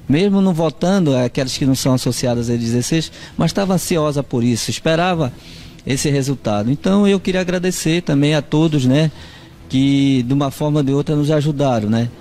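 A man's voice: a little high, brisk, a little mellow. A man in his fifties with a northern Brazilian accent answering an interview, repeating himself with frequent tag questions. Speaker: fishers' association president. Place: indoor meeting room. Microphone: handheld reporter microphone.

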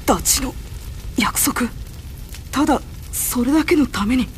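A woman's voice: high-pitched, measured pace, a little hiss or hum. A woman voicing a polite, resolute young samurai retainer in a video game, formal and earnest. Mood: shaken. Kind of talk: Japanese video game cutscene acting. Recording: music under the dialogue.

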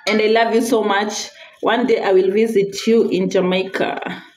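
A woman's voice: medium pitch, steady tone, normal speed, darkish tone. A woman with a Kenyan accent hosting a casual cooking vlog, chatty, repeating phrases and mixing in Swahili words. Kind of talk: casual cooking tutorial chat. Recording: echoey room, clear background noise, clicks.

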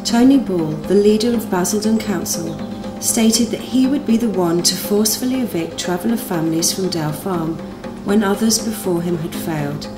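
A woman delivers scripted documentary narration at a medium pitch. Music plays clearly behind her voice as she reads. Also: normal speed, hardly any pauses.

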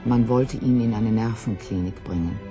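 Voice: mid-range voice, normal speed, music in the background. A person giving a reflective personal reminiscence in plain, sober, short sentences.

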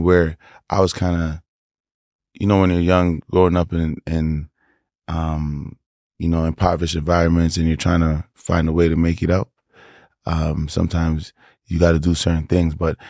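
A Toronto-accented man speaks in a very low voice, relaxed and animated, dropping slang as he tells his story. The sound is dry and close, with no room around him. He is a guest on a podcast chat. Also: dry recording. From Canada. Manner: laid-back, animated storytelling with slang asides. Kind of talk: casual interview chat.

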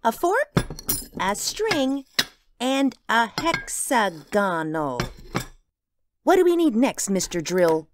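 A woman playing an excited, bossy and impatient little girl in a radio drama. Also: fairly high pitch, takes it slowly, long pauses, background noise. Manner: impatient, emphatic, gushing.

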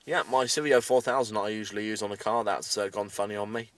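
A man's voice: lowish voice, fairly even intonation, fast, no room sound, recorded from a distance. A British man giving a casual, matter-of-fact, rambling video update, recorded inside a parked car. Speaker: amateur radio hobbyist making a video update.

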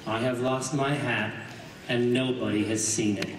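A man voicing a polite, forlorn bear as he reads a storybook aloud slowly and plainly. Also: mid-range voice, fairly even intonation, distant mic, a little hiss or hum, pitched below the performer's own voice, natural voice. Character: polite, forlorn bear searching for his hat. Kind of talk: storybook read aloud to an audience. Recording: echoey stage PA from afar, loud crowd.

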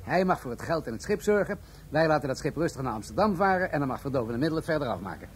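An actor playing a shrewd, composed police commissioner in a radio play, explaining in a measured way. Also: male voice, on the high side, big pitch swings. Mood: dry, assured.

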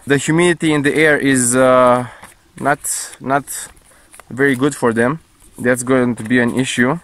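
A man's voice: a little high, a bit bright. A man in his twenties talking casually and playfully to viewers in an outdoor vlog, with informal, slightly hesitant phrasing. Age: 20s. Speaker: vlogger, paraglider pilot. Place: outdoors in hills and woods, and in flight. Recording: windy outdoor action-camera audio.

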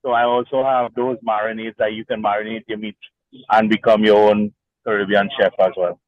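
A man from Trinidad and Tobago, a market vendor, explaining his products in a relaxed, plain way at an outdoor stall. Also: lowish voice, a little fast, dry recording, highs cut off. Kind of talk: casual interview over a video link.

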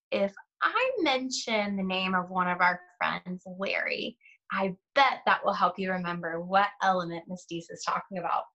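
Bright-voiced young woman teaching little kids. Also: medium pitch, dull audio. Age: young adult. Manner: addresses young children directly with simple questions and prompts. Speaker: elementary art teacher. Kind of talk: children's read-aloud lesson.

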